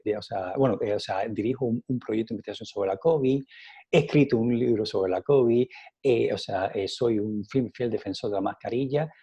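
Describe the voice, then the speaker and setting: A middle-aged Cuban man, a scientist, answering interview questions in a halting way with many fillers and repeated words, his accent softened by years in Spain. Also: medium pitch, slightly rough, muffled recording. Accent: Cuban Spanish, softened by years in Spain. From Cuba. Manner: animated, rising emphasis on key points.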